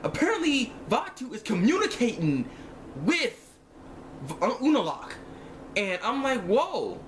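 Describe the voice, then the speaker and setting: A man's voice: very high voice, huge pitch swings, a little slow, slight background noise. A man giving a casual, slangy episode review to viewers, often repeating himself and acting out his reactions. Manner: repetitive, slangy, acts out remarks in reaction. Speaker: reviewer of an animated series episode. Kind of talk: casual spoken review, informal chat to viewers.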